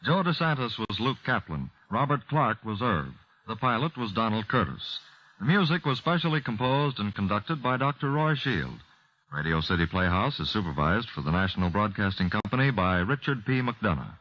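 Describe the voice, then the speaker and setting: Formal old radio announcer guy, lowish voice, reading credits, bit muffled. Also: big pitch swings, normal speed. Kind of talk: scripted radio closing credits.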